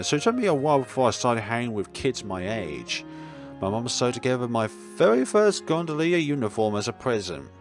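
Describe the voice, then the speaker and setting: A man reading a visual novel aloud for a gaming video, dropping in casual, musing side remarks. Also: medium pitch. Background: game music.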